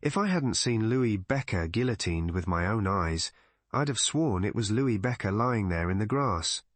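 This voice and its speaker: A man's voice: lowish voice, expressive, moderate pace, dry recording. A man voicing an irreverent old police underling in a storybook, chatty, colloquial and matter-of-fact. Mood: chatty, explanatory.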